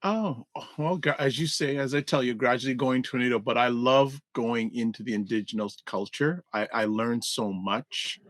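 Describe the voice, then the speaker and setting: A man's voice: mid-range voice, normal speed, hardly any pauses, a bit bright, no room sound, studio quality. A man co-hosting a casual video-call talk show, upbeat, repeating points for emphasis and reading the sponsor message. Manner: upbeat, repetitive emphasis, announcer-like reads. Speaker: co-host. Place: indoor room.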